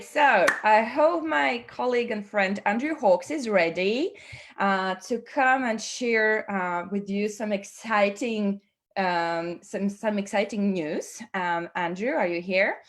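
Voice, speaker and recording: Woman from Latvia, webinar host, upbeat, halting with fillers, video call sound. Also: medium pitch, slight background noise. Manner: upbeat, many fillers and restarts, laughs often. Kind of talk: online team meeting presentation.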